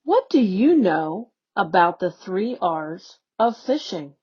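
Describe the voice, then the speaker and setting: A woman educator reading a children's newsletter aloud in a slow, deliberate, teacherly way. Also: medium pitch, big pitch swings, breathy edge. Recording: dull, lo-fi recording.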